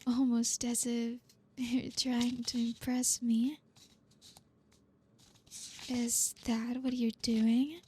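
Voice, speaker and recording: A woman playing a dominant, flirty boss in an ASMR roleplay, speaking softly and breathily with teasing, deliberate command, close to the microphone. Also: a little high, little pitch movement, slight background noise. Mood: amused, teasing. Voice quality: breathy, soft. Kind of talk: ASMR roleplay, close-mic soft speech. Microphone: close microphone, dry.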